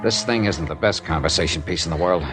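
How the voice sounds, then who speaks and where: An actor playing a tough, world-weary American insurance investigator in a 1950s radio detective drama, with a dry, clipped delivery. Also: male voice, deep voice, fast, music in the background, close to an everyday voice. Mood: pressing. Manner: hard-boiled, dry, clipped. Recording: vintage radio drama recording.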